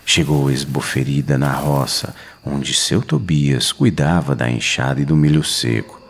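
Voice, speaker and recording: A man's voice: extremely low pitch, measured pace. A Brazilian man telling a scripted horror story, solemn and suspenseful, in short weighted phrases. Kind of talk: dramatic scripted horror narration. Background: music.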